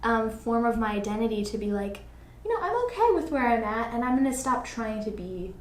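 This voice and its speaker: A woman with a somewhat high voice talks casually as a podcast host. She thinks aloud in an earnest way, dropping in "like" and "you know." The room is clearly echoey, with a little noise behind her. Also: expressive, a little fast. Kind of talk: casual reflective conversation.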